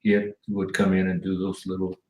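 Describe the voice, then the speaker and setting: A man from Southern California telling stories as an interview guest, rambling with many pauses and restarts. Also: deep voice, completely flat delivery, recorded from a distance. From Southern California, near the Mexican border. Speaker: guest, former TV cameraman. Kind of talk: casual storytelling interview. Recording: echoey room, voice from off mic.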